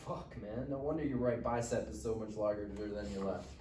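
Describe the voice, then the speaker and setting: Cocky mellow-voiced teenage boy, acted by a man. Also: on the low side, normal speed, lightly acted. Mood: taunting. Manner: slangy, taunting. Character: cocky, foul-mouthed broke teenage boy, needling his buddy. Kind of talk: live table read of comedy screenplay. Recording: roomy, distant mic, faint noise.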